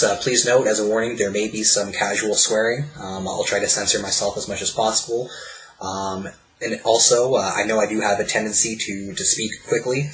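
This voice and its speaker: A Canadian man giving a spoken album review over slides, pausing often with fillers and restarting his sentences. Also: fairly low pitch, a bit bright, poor audio. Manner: hesitant, many fillers and restarts. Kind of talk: presentation voice-over.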